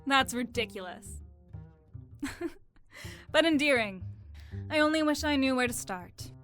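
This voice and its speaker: Casting: actress as wary, wry female schemer for audio drama, higher bright voice, dramatic and distrustful. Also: lively intonation, unhurried, faint music behind. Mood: softening.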